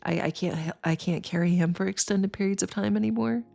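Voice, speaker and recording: Sniffly tired woman, gravelly voice. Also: mid-range voice, a little fast, dark tone, faint music behind. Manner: tired, rambling, chats with viewers. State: sniffly, congested. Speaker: streamer. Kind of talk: casual livestream chat.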